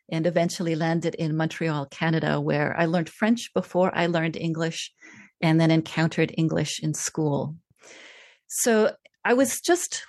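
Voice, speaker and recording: A woman author who grew up in Czechoslovakia, reading from her book and then answering interview questions in a thoughtful, measured way. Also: lowish voice, dry recording, studio quality. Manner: thoughtful, measured, with frequent fillers. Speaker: author and language scientist.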